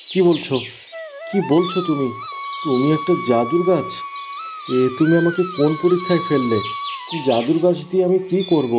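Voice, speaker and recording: A man acts out an earnest village farmer from a cartoon folk tale. He sounds wistful and plaintive, and his pitch moves a great deal. There is clear music behind him. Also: huge pitch swings, moderate pace, long pauses. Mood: astonished. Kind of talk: Bengali fairy tale cartoon voice.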